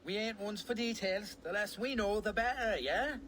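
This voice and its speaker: An actor in a video game playing a rough kidnapper who pleads and wheedles for mercy. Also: medium pitch, moderate pace, a bit bright, slight background noise, close to an everyday voice. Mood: pleading, evasive. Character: grovelling rough kidnapper, pleading for his life. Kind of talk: video game cutscene acting.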